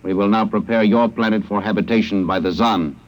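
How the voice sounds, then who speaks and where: An actor playing an emotionless, superior alien conqueror in a classic radio drama, speaking in stilted, formal, deliberate phrases. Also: male voice, fairly low pitch, fairly even intonation, natural voice, rough recording. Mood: imperious, detached.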